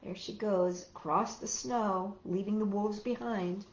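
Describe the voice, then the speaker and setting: A woman hosting a storytime for children, reading aloud in an expressive, casual way and putting questions to young listeners. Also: medium pitch, unhurried, reverberant, low-quality recording. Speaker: storytime host reading picture books to children.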